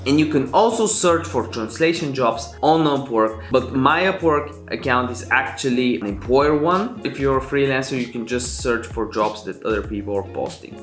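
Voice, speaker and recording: A man's voice: mid-range voice, fairly bright tone, echoey room. A young man from Bulgaria with a Bulgarian accent, hosting a chatty, upbeat screen-share tutorial on his own channel. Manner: upbeat, chatty, self-promoting. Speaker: YouTube host.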